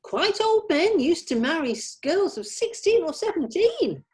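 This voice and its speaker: A woman's voice: very high-pitched, sing-song, a little slow, a little mellow, full character voice. A woman acting a genteel, fussy, chatty bookish lady in a comedy script read, politely old-fashioned in her phrasing. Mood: gossipy.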